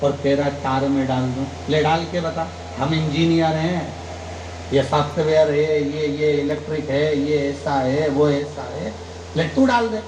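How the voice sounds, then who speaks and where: A man's voice: a little slow, roomy sound, background noise. A man giving a devotional sermon, telling stories and acting out their dialogue with emphatic rises. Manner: storytelling, acting out dialogue, rising emphatic pitch. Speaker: kathavachak preacher. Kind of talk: devotional katha sermon with anecdotes.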